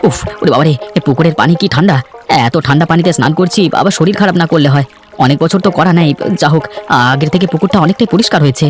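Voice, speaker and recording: A man's voice: a little high, rapid-fire, close to an everyday voice. A man voicing an earnest, plain-spoken young villager in a fairy-tale cartoon, with a slightly chiding manner. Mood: earnest, friendly. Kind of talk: Bengali cartoon voice acting. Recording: background music under the voice.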